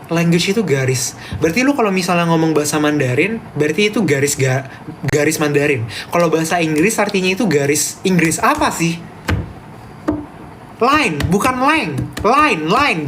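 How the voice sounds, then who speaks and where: A man's voice: sits high, a bit bright, slight background noise. A young man with a Medan accent chatting casually on a live stream, reading viewers' comments aloud and ranting back at them emphatically. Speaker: livestreamer reading chat.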